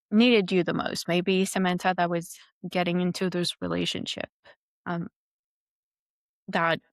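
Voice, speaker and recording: Low-voiced woman host asking slowly. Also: gravelly, no echo. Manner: slow, hesitant questions with fillers. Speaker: podcast host. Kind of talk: interview questions.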